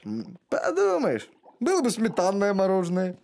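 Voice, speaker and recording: Hapless, good-hearted talking dog for animation; plaintive, very melodic line readings. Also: male voice, high voice, huge pitch swings, moderate pace, vocal fry, heavy background noise, heavily acted. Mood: puzzled, defensive. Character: good-natured, hapless male talking dog, easily discouraged. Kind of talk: animated cartoon acting.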